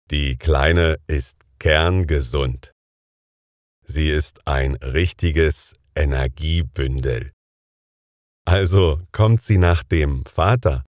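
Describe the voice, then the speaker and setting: A man with a strikingly low, heavy voice reads a scripted everyday conversation for learners. Each word comes out slowly and with careful enunciation. The sound is close and completely dry, with no room around it. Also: darkish tone, no echo. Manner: slow, carefully enunciated, deliberate. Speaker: dialogue speaker in a language lesson.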